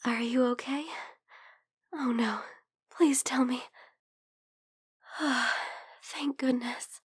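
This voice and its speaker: A woman playing a shy, lovestruck young female vampire in an intimate ASMR roleplay, speaking softly and hesitantly, often slipping into whispers. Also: high voice, fairly even intonation, very slow, no echo, clean studio sound, full character voice. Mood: worried, tender. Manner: hesitant, soft, breathy murmuring that slips into whispers.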